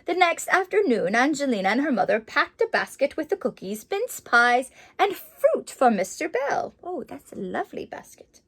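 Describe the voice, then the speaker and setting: High, very animated woman storyteller. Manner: theatrical, gushing, exclaims and pauses dramatically. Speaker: children's storybook reader in character as Mrs. Claus. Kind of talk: animated storytelling for young children.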